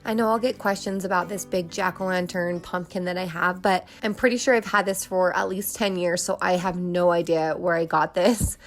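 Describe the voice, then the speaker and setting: A woman in her thirties talks in a friendly, chatty way, with fillers like "um" and "like". Her voice is low, gravelly and nasal from weeks of illness, and it sounds like it is going out. Faint music plays under her voice-over. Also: steady tone, moderate pace, few pauses. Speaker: home decor vlogger. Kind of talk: casual voice-over narration.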